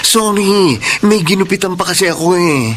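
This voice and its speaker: A man's voice: high-pitched, normal speed, fairly bright tone, slight background noise. A man playing a flamboyant, supportive hairdresser friend in a Tagalog radio drama. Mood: breezy.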